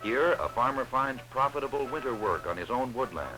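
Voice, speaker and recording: A man explains things as the narrator of an instructional film. His voice is medium in pitch, and the vintage soundtrack carries music behind him. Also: expressive, a little fast, rough recording.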